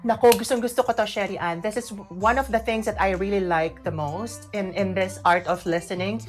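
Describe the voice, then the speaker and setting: A woman's voice: mid-range voice, a little fast. A woman with a Filipino accent co-hosting an online radio show, explaining things casually and emphatically. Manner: emphatic, mixing in Tagalog. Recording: music playing behind the voice. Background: background music.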